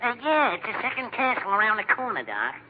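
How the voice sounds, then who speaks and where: A man's voice: high voice, huge pitch swings, a little fast, a little hiss or hum. A man playing a wisecracking, nonchalant cartoon rabbit in a 1950s radio comedy, talking in a slangy, hesitant drawl on a muffled old recording. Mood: breezy, cheeky.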